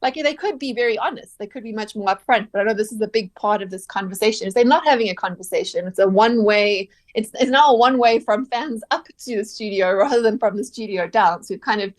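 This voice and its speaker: Woman on a radio panel, asks lots of pointed questions, clear and steady. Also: medium pitch, fast, hardly any pauses. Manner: articulate, questioning. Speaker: radio guest.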